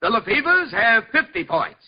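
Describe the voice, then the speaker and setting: A man's voice: very high-pitched, very animated, moderate pace, husky, full character voice. A man acting a showy, mock-sympathetic quiz show host in theatrical 1940s radio comedy style. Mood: announcing. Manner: theatrical, announcing, mock-apologetic. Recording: muffled old radio, audience behind.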